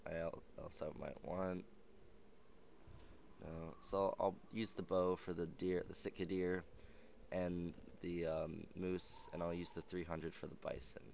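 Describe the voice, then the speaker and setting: Young male gaming host, low and slightly raspy, rambling casual delivery, static-laden home mic. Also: a little mellow. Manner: rambling, filler-heavy, chatty. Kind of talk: casual let's play commentary. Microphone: old, static-prone headset mic.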